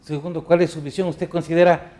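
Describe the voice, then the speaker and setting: A man's voice: fairly high pitch, flat delivery, brisk, a little mellow. A man hosting a TV panel, formal and welcoming as he introduces guests and poses questions. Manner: formal, welcoming, slips on a name. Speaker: TV talk show host.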